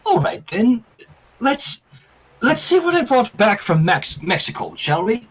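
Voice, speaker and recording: A man's voice: very high voice, unhurried, breathy edge. A man reading a story aloud expressively, acting out dialogue between casual remarks as a stream co-host. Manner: expressive, acts out dialogue while narrating. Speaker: fanfic reader and stream co-host. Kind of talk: animated storytelling read aloud with casual chat.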